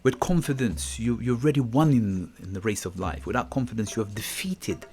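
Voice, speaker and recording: A man's voice: on the low side, brisk, background noise. A middle-aged man from Guyana hosting a motivational podcast talk, reflective, repeating words and checking in with the listener. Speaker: podcast host.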